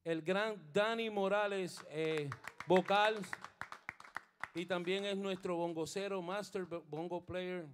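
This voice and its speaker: A middle-aged bandleader with a fairly high voice speaks into a stand mic on a studio stage. He plays bass as he talks. He slips between Spanish and English and hesitates over his words before rising into fervent, sermon-like encouragement. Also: fairly even intonation, slow, a little hiss or hum. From Puerto Rico. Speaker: bandleader and bassist. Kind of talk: onstage band introductions and spiritual encouragement. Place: indoor studio stage with a band. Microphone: stand microphone while playing bass.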